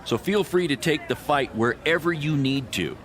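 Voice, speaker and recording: An actor playing a confident, persuasive small-time wrestling promoter in scripted game dialogue. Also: male voice, a little high, lively intonation, moderate pace, a bit bright, slight background noise. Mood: brisk.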